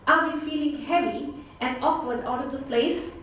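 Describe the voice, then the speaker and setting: A woman's voice: sits high, moderate pace, fairly bright tone, far from the mic, lo-fi sound, slight background noise. A woman with an Indian accent gives a motivational talk to a live audience, speaking emphatically and asking many rhetorical questions. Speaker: motivational speaker and life coach. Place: large conference hall with an audience. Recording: very echoey hall, voice picked up from afar.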